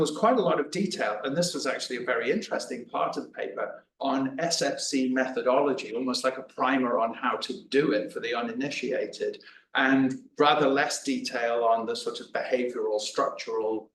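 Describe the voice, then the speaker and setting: A man's voice: medium pitch, normal speed, a bit bright. A man giving a chatty, self-deprecating discussion of a paper at a conference, often restarting his words. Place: conference room. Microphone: away from the room microphone. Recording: roomy, distant mic, faint noise.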